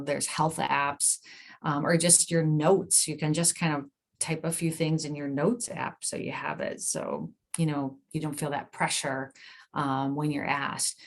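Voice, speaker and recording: Low, creaky-voiced woman giving an informal presentation. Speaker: nurse practitioner giving a presentation. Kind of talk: informal explanatory talk with slides.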